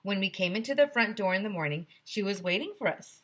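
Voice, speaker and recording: A woman's voice: mid-range voice, lively intonation, quick pace. A woman teacher reading a children's story aloud expressively, with chatty asides to young listeners.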